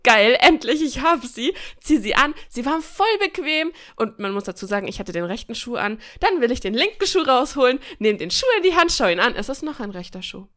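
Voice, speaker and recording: A woman's voice: on the high side, brisk, hardly any pauses. A woman telling personal stories in a casual, joking and colloquial way, as a podcast host. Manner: chatty, colloquial, expressive and joking. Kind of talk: casual chat, personal storytelling.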